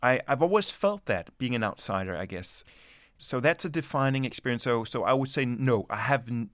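A man's voice: medium pitch, lively intonation, moderate pace, no echo, studio quality. A man from Denmark, an anthropologist, answering interview questions in a reflective, hesitant way with many restarts.

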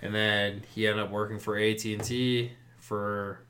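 A man's voice: fairly low pitch, flat delivery, a little slow, a bit bright, a little hiss or hum. A man from Maryland with a Maryland accent, chatting loosely as a podcast host, rambling with lots of fillers. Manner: laid-back, rambling with fillers. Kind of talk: casual chat.

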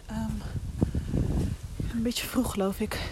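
An actress playing an anxious, inquisitive young reporter in a hesitant, confiding voicemail monologue in an audio drama. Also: female voice, fairly high pitch, fairly even intonation, slow pace, heavy background noise. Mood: tentative.

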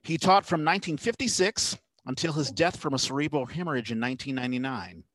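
A man's voice: medium pitch, expressive, fast, fairly bright tone. A man hosting a conversational podcast interview, feeling his way through explanations in a rambling, hesitant way. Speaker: podcast host.

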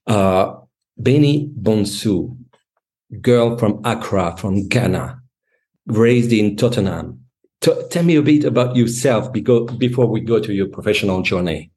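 A man's voice: on the low side, mellow. A man hosting a podcast, introducing his guest formally and asking polite questions. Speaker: podcast host. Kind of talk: scripted intro and interview questions.

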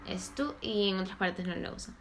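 A woman hosting a casual chat, curious and quick to ask questions. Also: mid-range voice, background noise. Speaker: host.

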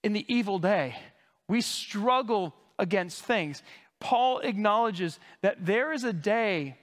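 A male pastor teaching from the pulpit with a high voice, unpacking Greek words in a relaxed, conversational manner. He keeps coughing as he recovers from a lost voice, and his words ring out with a long reverb through the church sanctuary. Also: takes it slowly. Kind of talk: expository sermon.

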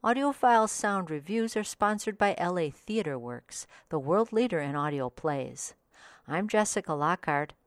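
Female podcast host, lower register, scripted review narration, very dry close recording. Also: lively intonation, normal speed, dry recording.

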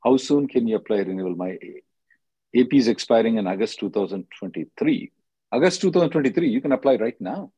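Husky guy running a legal call-in, blunt and directive, dry close sound, says okay a lot. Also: mid-range voice, lively intonation, brisk, warm tone, dry recording. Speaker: immigration attorney hosting call-in. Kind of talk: advising callers on a conference call.

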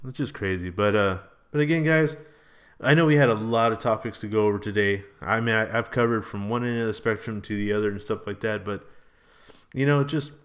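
A man in his early thirties hosting a casual, rambling solo podcast in a slangy, informal, exclamatory way. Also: on the low side. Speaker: podcast host and vlogger.

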